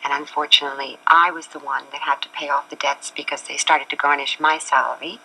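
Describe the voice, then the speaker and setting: A woman's voice: low voice, little pitch movement, a little fast, pushed and bright, husky, low-quality recording. A woman in her forties from Chile, a talk show guest speaking earnestly and at length about her own life, recorded on an old TV studio set. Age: 40s. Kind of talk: candid personal talk.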